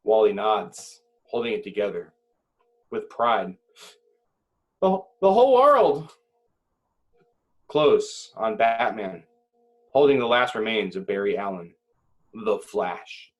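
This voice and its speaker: A man reading screenplay directions as dramatic narration at a table read, with deliberate phrasing and short pauses. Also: lowish voice, fairly even intonation.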